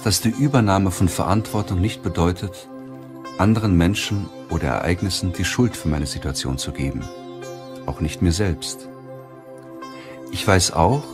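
A man reading a self-help audiobook in a measured, deliberate way with pauses. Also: low voice, animated, over music. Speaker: audiobook narrator. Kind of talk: scripted audiobook narration of a self-help book.

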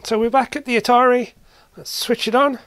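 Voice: mid-range voice, steady tone, brisk, a bit bright, no echo. A person giving casual hands-on repair commentary in a hesitant way, as if thinking aloud. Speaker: repair video maker. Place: indoor electronics workshop.

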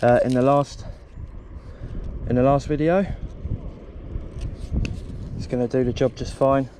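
A man's voice: medium pitch, measured pace. English man narrating outdoors by the sea. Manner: relaxed, talks through each step as he works. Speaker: angler vlogger. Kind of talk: casual how-to narration. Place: outdoor concrete seawall by the sea. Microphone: head-mounted action camera. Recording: outdoor wind and sea noise.

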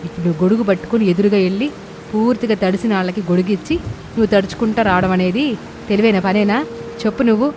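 A woman's voice: medium pitch, quick pace, noisy background, lightly acted. A woman playing a bossy, teasing older village mother-in-law in a cartoon moral story, scolding in rustic colloquial speech.

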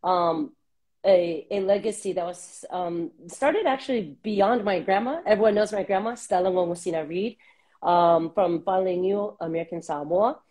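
A woman in her thirties from Southern California, a guest talking reflectively over a video call on earbuds, with many pauses and fillers. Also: mid-range voice. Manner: warm, reflective, many ums. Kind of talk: casual interview on a video call. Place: small room indoors.

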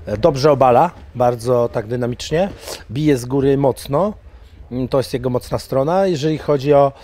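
A man in his forties, an MMA coach, talking casually in an interview at an indoor press wall and breaking into loud sponsor shout-outs. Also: mid-range voice, normal speed, slight background noise. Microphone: handheld mic held by interviewer.

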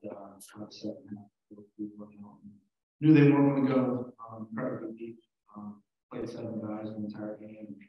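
A man, a head coach, giving plain post-game remarks with many fillers at a press table. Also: fairly low pitch. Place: arena press room. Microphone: table microphone. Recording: distant, dull, very echoey.

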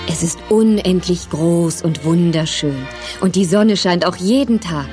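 A woman's voice: lowish voice, unhurried, warm tone, faint music behind, close to an everyday voice. A woman narrating a scripted children's story.

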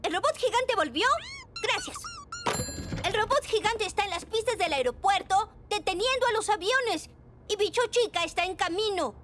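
A woman voicing a bossy, grumpy cartoon penguin newspaper editor, brisk and dramatic. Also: very high voice, animated, music in the background. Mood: grumpy, demanding. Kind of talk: dubbed children's cartoon acting.

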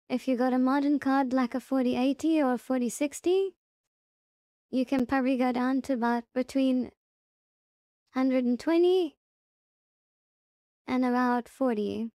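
A woman with a fairly high but very soft-edged, mellow voice talks through a software tutorial. She is casual and plain-spoken, taking the listener through it step by step. The sound is dry and close, with little trace of a room. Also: no room sound. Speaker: tutorial presenter.

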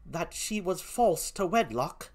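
A performer voicing an outraged, loyal and grieving gentlewoman with a put-on British accent, in theatrical Shakespearean acting. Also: medium pitch, sing-song, takes it slowly, voice pushed up. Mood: stunned. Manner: declamatory, impassioned, theatrical. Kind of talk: classical Shakespearean stage acting.

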